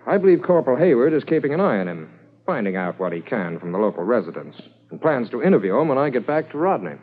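An actor playing an inquisitive junior police officer with a thoughtful, conversational manner in a 1950s radio drama. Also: male voice, mid-range voice, big pitch swings, quick pace. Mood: respectful, businesslike. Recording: muffled, hissy 1950s radio transcription.

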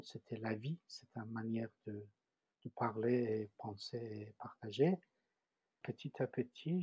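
A man with a Persian accent speaks slowly and haltingly, stopping to hunt for the right French words. His voice is husky and mellow. The recording sounds slightly dull and dry. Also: mid-range voice, dry recording. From Iran. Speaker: interviewee. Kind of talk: personal testimony.

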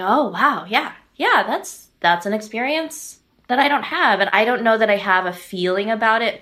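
A woman's voice: on the low side, fairly bright tone. A woman from the American Midwest, speaking as a podcast guest in a thoughtful, earnest way, often saying "like" and "right?". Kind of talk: reflective interview talk.

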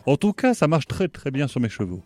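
Rural horse vet, male: lightish high pitch, hesitant, tactless, close dry radio fiction sound. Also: sing-song, brisk, warm tone, dry recording. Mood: placid. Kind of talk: audio fiction acting.

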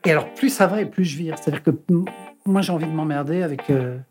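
A man in his sixties giving a candid interview as an artist, speaking frankly and reflectively and often trailing off into pauses. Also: a little high, darkish tone, faint music behind. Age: 60s.

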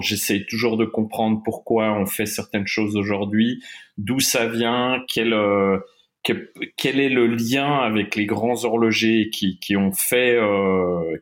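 A middle-aged man from Switzerland whose first language is German, a watch-industry guest talking in an interview with many fillers and restarts. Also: on the low side. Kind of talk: interview conversation.

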